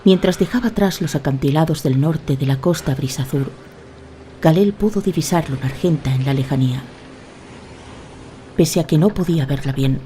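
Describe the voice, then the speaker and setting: A woman's voice: low-pitched, mellow, a little hiss or hum. A woman giving scripted, dramatic narration of a fantasy tale. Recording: voice over a prominent music bed.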